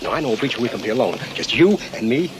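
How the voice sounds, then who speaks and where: An actor with an American accent playing a brash, flirtatious, wisecracking navy sailor with slangy patter in a sitcom. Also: male voice, mid-range voice, sing-song, quick pace, very noisy, natural voice. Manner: smooth-talking, slangy. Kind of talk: sitcom acting.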